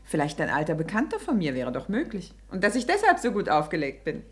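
An actress playing a middle-aged, well-to-do wife in a German radio drama, speaking in a reflective, self-critical way with a breathy voice. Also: female voice, lowish voice, huge pitch swings, quick pace, heavily acted. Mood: breezy, vain. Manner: reflective, hesitant, confessional. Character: wistful, self-critical well-to-do wife recalling her past.

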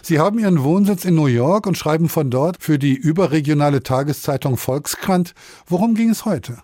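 Mellow-voiced male radio presenter. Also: on the high side, expressive, brisk, no echo, clean studio sound. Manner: crisp, scripted introductions. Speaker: radio news host. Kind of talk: radio interview moderation.

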